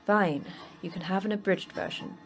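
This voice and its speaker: A terse, flippant female manager of a secret polar facility, played by an actress in a sci-fi horror podcast. She teases drily and talks briskly in a medium-pitched voice. Music plays behind her. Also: close to an everyday voice. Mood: teasing, dry. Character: terse, wry, teasing woman manager of secret Antarctic monster facility. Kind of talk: audio fiction podcast acting.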